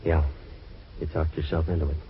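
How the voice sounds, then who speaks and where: A very low-voiced, hard-boiled male detective, terse and deadpan. He tells his case wryly in old radio drama style. The recording is dull and noisy. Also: brisk. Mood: cool, deadpan.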